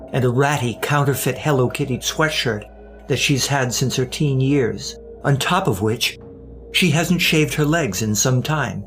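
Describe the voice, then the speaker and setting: Male narrator for audio drama: gravel in the voice, mid-range, suspenseful, deliberate, slightly wry. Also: mid-range voice.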